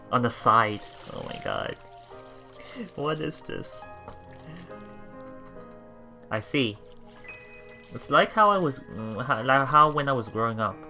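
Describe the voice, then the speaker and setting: Bright, animated let's play streamer. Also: mid-range voice. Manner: excitable, repeats words and phrases. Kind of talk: reading game text aloud with casual reactions.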